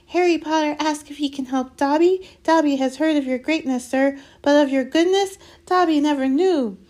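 A woman voicing a small, excitable male house-elf in a storybook, squeaky, reverent and fearful, speaking of himself in the third person. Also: moderate pace, lightly acted. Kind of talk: dramatised storybook reading.

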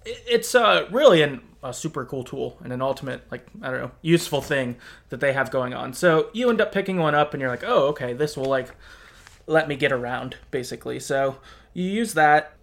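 Male podcast co-host, middle-pitched voice, informal conversational retelling. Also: lively intonation, brisk, gravelly, a bit bright. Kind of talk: casual recounting of a game's story.

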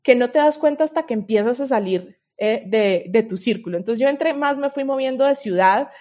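This is a Colombian woman whose voice sits a little high. She talks as a guest researcher in a relaxed interview. Her delivery is earnest and expressive as she hands out advice. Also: normal speed. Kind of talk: casual interview conversation, giving advice.

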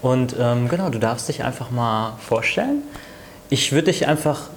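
A man hosting an interview, speaking in a gentle, reassuring way and often using filler words. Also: medium pitch, lively intonation, normal speed, a little hiss or hum. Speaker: interview host.

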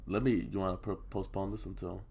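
A man's voice: sits low, fairly even intonation, brisk, vocal fry, darkish tone, slightly rough. A young man co-hosting a podcast in casual chat, sick and coughing, talking in a loose, profane, rambling way. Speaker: podcast co-host.

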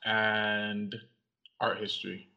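A young man from Cameroon, a guest in an interview, speaking in a measured, reflective way with some pauses. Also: fairly low pitch, completely flat delivery. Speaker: guest, audiovisual artist and writer. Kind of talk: interview conversation. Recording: lo-fi, slightly dull sound.

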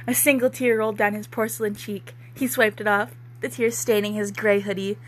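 A woman's voice: medium pitch, little pitch movement, fairly quick, slightly rough. A young woman giving an amateur dramatic reading of fiction, with casual, giggly, self-mocking banter. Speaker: co-host, author reading own fan fiction.

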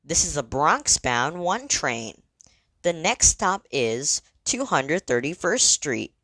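Casting: female transit announcer, low pitch, slow and formal, scripted delivery, lilting intonation. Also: very animated, a bit bright, dry recording. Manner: measured, clearly segmented, formal. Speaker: train announcer.

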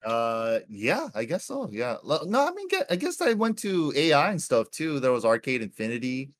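A relaxed man in his thirties, from Hawaii, talking as a guest on a podcast. His talk is loose and friendly, with "dude" and "man" all through it. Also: mid-range voice, normal speed. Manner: laid-back, keeps calling people "dude". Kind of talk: casual chat.

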